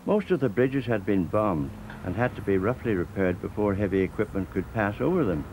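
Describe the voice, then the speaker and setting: An older man from Northern Ireland narrates in a measured, steady way, his voice pitched a little low. There's a faint hum of ambience underneath him. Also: poor audio. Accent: Northern Irish. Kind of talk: scripted documentary narration.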